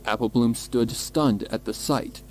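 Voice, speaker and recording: A man's voice: mid-range voice, measured pace, over a noisy crowd. A man reading scripted, dramatic story narration. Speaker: fan fiction narrator.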